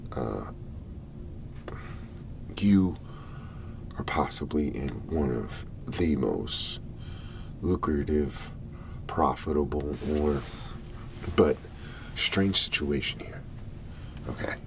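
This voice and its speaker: A man playing a hesitant government agent in an ASMR roleplay, speaking in a soft, gravelly half-whisper with halting, reassuring delivery. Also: a bass voice, animated, slight background noise, full character voice. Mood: hesitant, diplomatic. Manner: halting, deliberate, reassuring, with long pauses. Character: hesitant, placating male government agent negotiating with a farmer.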